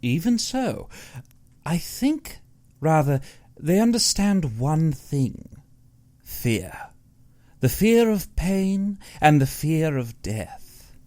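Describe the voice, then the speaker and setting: Man acting uneasy superstitious male hunter, raised pitch, very animated intonation, earnest, brooding, audiobook dialogue. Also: extremely slow, long pauses, exaggerated voice. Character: Whitney, uneasy superstitious male big-game hunter aboard a yacht.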